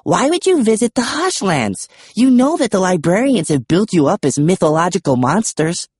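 Storybook heroine, read by a man: scornful, bossy young woman, slightly raised pitch, husky edge. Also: very animated, moderate pace, a little mellow, dry recording, clean studio sound, heavily acted. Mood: exasperated. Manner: clipped, dismissive.

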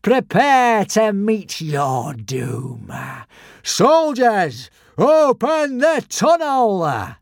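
A man's voice: medium pitch, moderate pace, few pauses, darkish tone, no echo, studio quality, natural voice. A man voicing a treacherous, scheming villain who makes grandiose, sneering proclamations in a storybook reading. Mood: sneering grand.

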